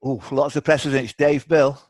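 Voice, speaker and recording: A British man hosts a live online quiz in a chatty, bantering way. His voice sits at a medium pitch. The sound is dry, with little room around it. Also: fairly even intonation, normal speed, a little mellow, dry recording. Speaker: quiz host. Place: indoors, on a video call.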